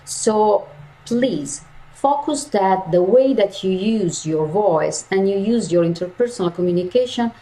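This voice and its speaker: Slow, emphatic woman voice coach. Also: mid-range voice, lively intonation, warm tone, low-quality recording. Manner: slow, emphatic, repeats key phrases. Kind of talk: lecture to university students.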